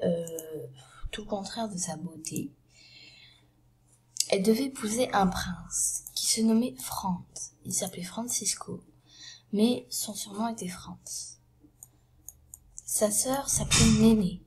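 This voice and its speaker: A woman with a cold retelling a story in a casual, halting way, often dropping to a hushed half-whisper and filling pauses with fillers. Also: medium pitch. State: has a cold.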